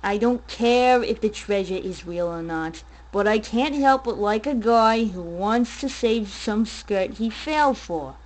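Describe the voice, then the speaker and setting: A woman's voice: mid-range voice, lively intonation, a little slow, hardly any pauses, natural voice, poor audio. A tough parent character from a game, acted by a woman. The delivery is hard-boiled and slangy, with a firm note of warning. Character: tough, slangy parent threatening over missing daughter.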